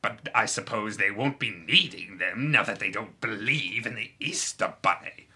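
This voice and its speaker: A man's voice: on the low side, normal speed, bright, forceful tone, breathy edge, exaggerated voice. A man playing a pompous, snobbish brother-in-law in a comedy audio drama, with an affected posh accent and theatrical manner. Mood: aggrieved.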